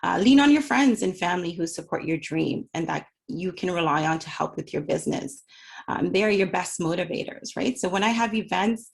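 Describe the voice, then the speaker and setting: Middle-aged woman, entrepreneur sharing her story; lowish voice with vocal fry, easygoing and chatty, leans on "you know.". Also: animated, brisk. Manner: chatty, personable, many "you know" fillers. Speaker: small business owner speaking at a community event. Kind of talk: conversational talk about her business journey. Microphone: remote call for a virtual event.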